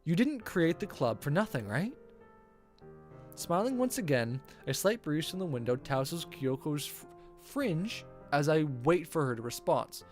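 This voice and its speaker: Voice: mid-range voice, very animated, a little slow, faint music behind. A game streamer reading a visual novel aloud in a playful, theatrical way, stumbling over the text and reacting casually.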